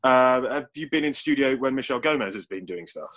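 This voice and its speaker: A man's voice: mid-range voice, animated, fairly quick, a bit bright. A man in casual panel chat as a writer, rambling and often restarting his phrases. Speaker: audio drama writer. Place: remote online call.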